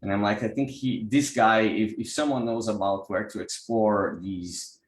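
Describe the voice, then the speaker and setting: Brazilian-accented male presenter, very deep, halting delivery, roomy video-call sound. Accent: Brazilian Portuguese. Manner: hesitant, many restarts and repeated words. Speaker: workshop presenter, pranic healer. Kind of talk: online workshop talk.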